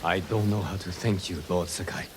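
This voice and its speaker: An actor playing a humble, earnest bandit who wants redemption, in a video game. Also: male voice, low voice, steady tone, brisk, noisy background, close to an everyday voice. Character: humble, earnest bandit seeking to redeem himself. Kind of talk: dramatic video game acting.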